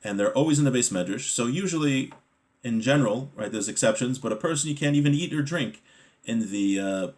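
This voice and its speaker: Man, religious teacher, mid-pitched voice, text study lesson, reading then explaining, conversational, checks understanding. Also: expressive, fairly quick, darkish tone.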